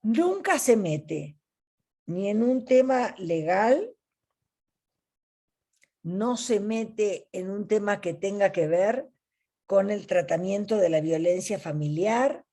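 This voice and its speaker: Argentine woman, ethics facilitator, unhurried, warm mellow tone. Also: mid-range voice, animated. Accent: Argentine Spanish. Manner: slow, deliberate teaching with pauses. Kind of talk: online ethics class.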